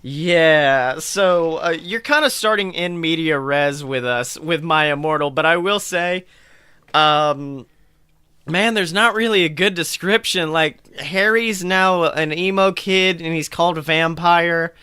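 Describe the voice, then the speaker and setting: A man co-hosting a podcast, casually bantering and swearing, with jokey, animated reactions. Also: fairly high pitch, measured pace, smooth, no room sound. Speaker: podcast co-host. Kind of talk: casual banter and mocking fan fiction read-aloud.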